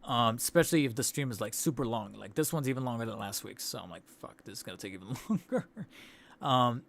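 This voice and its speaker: A man's voice: medium pitch, fairly quick, creaky, fairly bright tone. A young man hosting a toy collecting livestream, losing his voice, rambling casually with restarts and laughs. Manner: rambling, self-interrupting, laughs between thoughts. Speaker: toy channel host. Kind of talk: casual livestream wrap-up chat. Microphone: studio desk mic.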